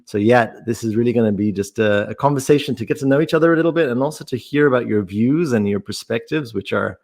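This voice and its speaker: A man hosting a podcast, introducing an interview conversationally in measured, thoughtful phrases. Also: mid-range voice, a little mellow. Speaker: podcast host.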